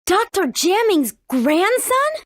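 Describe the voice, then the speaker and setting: A woman playing a spirited, earnest young heroine in a video game, with an expressive, questioning delivery. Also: very high voice, slow pace, no echo, studio quality, heavily acted. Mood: puzzled. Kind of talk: English video game voice acting.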